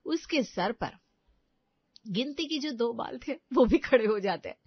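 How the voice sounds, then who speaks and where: A woman with a fairly high voice narrates a scripted comic tale. The recording is dry and a little dull, with very little room sound. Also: animated, unhurried, breathy edge, no echo. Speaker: story narrator.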